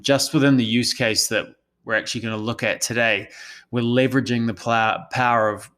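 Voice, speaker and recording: Male presenter, New Zealand accent, lowish voice, professional and explanatory, for a business webinar. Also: a bit bright. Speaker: analytics consultant presenting a session.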